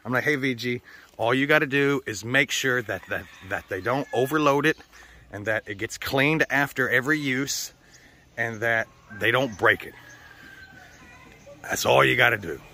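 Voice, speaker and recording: Bright-voiced, foul-mouthed man vlogging casually. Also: mid-range voice, moderate pace, soft background music. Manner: profane, slangy, blunt. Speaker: vlogger hosting a channel. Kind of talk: casual rambling vlog talk to viewers.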